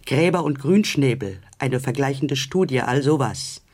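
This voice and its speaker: An actor playing an agitated man who fancies himself a lofty judge of culture, husky and exclamatory in a radio drama. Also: mid-range voice, lively intonation, moderate pace, a little mellow. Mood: grandiose, excited.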